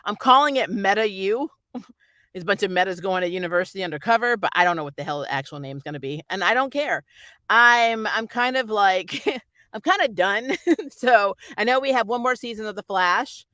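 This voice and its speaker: Bright-voiced reviewer, dry close recording. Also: mid-range voice, moderate pace, no room sound. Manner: rambling, conversational, slangy, mildly exasperated. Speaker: YouTube TV series reviewer. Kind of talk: casual opinionated review chat.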